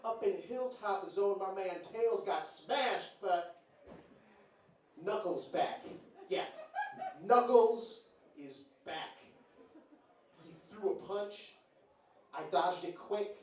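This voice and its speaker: Casting: male stage poet, high voice, slow theatrical comic delivery, heard from afar in a reverberant venue. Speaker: live show performer. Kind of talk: comic poem recital on stage. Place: indoor bar venue, live event. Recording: very echoey live venue, distant, faint noise.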